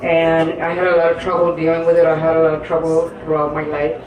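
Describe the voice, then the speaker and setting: A woman poet speaking on stage in an earnest, halting way, with many pauses and fillers. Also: low-pitched, completely flat delivery, a crowd in the background. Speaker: poet and guest. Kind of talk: personal storytelling in a live interview. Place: live stage event in an echoey room. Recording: echoey room from a distance.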